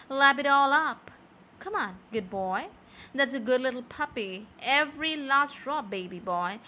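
A young adult woman speaking softly and close to the mic in an intimate audio roleplay, with a teasing, coaxing manner. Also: sits high, slight background noise, rough recording. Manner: soft, slow, teasing and coaxing.

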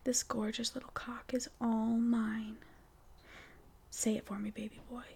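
Woman, whispered roleplay voice, teasing and coaxing, mellow with a gravelly edge. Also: on the high side, little pitch movement, unhurried. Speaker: audio roleplay performer.

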